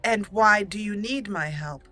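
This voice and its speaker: A woman voicing a young female elf mage in roleplayed game dialogue, formal and deliberate, with pauses. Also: medium pitch, sharp tone. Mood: measured, questioning.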